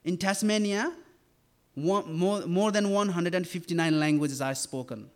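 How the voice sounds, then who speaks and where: Young man from Nepal, fairly high voice, earnest church speaker who stops and restarts often. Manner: earnest, halting with fillers and restarts. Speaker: campus ministry worker. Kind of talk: church talk and sermon.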